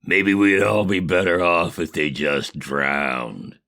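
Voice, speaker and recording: A man's voice: low-pitched, slow pace, gravelly, pushed and bright, voice pushed down, exaggerated voice. A man playing a suspicious, hard-hearted military colonel, stern and commanding, in an audio drama. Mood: cold suspicion.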